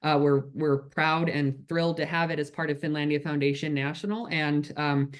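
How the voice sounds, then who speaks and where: A man's voice: a little high, fairly even intonation, moderate pace, smooth. A man hosting an online Q&A over a video call, effusive and full of thanks and praise for his guest. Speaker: host, moderator. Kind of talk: moderating a webinar Q&A.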